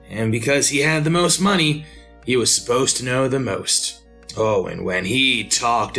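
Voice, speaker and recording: An actor playing an unhinged, pleading madman who confesses his tale in a halting, feverish radio drama style. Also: medium pitch, vocal fry, bright, forceful tone, exaggerated voice. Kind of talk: retro radio drama monologue.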